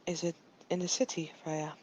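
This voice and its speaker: A Dutch-accented man voicing an earnest female werewolf raised in a quiet pack, speaking in a measured, formal way and pausing to find his words. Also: fairly high pitch, little pitch movement, dry recording, voice pushed up, close to an everyday voice, poor audio. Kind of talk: in-character roleplay.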